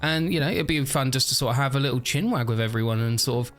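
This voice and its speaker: A man's voice: medium pitch, fast talker, fairly bright tone, faint music behind. A man rambling through casual game commentary, overtired and a bit stuffy and nasal, often laughing at himself. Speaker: gaming YouTuber. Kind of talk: casual let's-play commentary.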